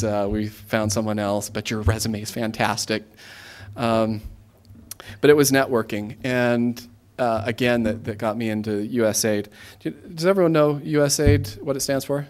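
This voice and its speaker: An American man in his thirties giving an informal career talk to students, conversational and halting, often restarting his phrases. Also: medium pitch. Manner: conversational, halting, self-interrupting. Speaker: guest speaker, government aid regional coordinator.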